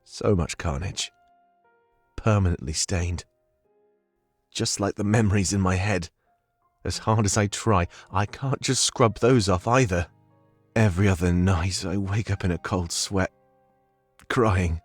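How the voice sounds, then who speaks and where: A man's voice: low voice, unhurried, pauses often, no room sound. An actor playing an earnest, haunted young man, a loyal companion in a visual novel game, confiding with heartfelt emotion. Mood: haunted, grieving. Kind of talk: scripted visual novel character dialogue. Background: background music.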